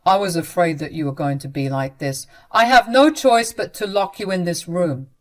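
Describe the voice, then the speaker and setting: A cold, dismissive young son, as a woman narrator puts him on, clipped and matter-of-fact in a storybook telling. His voice sits a touch lower than her own, flat and businesslike. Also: moderate pace, natural voice.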